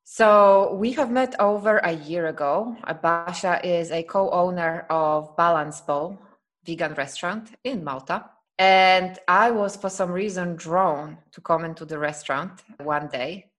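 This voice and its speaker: A woman's voice: fairly low pitch, unhurried, fairly bright tone. A woman from Poland hosting a podcast, talking in an earnest, reflective way and often breaking into laughter. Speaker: podcast host. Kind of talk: casual podcast chat.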